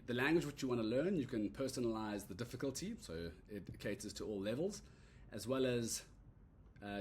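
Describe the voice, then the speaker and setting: A man giving a relaxed, rambling walkthrough of an app he built, full of "uh" and "so yeah" fillers. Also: lowish voice, slight background noise. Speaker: app creator demoing his product. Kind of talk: casual screen-recorded product demo. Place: at a computer recording a screen demo.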